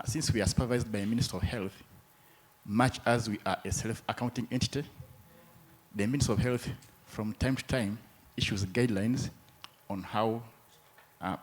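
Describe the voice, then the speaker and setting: A man from Uganda speaks from the floor at a conference, his voice husky and fairly low. He lays out his points in a formal, measured and methodical way. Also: rough recording. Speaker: hospital administrator. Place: conference room.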